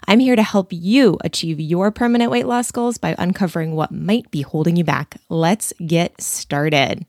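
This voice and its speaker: A woman reading her podcast's scripted intro in a steady, polished way. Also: on the low side, moderate pace, dry recording, clean studio sound.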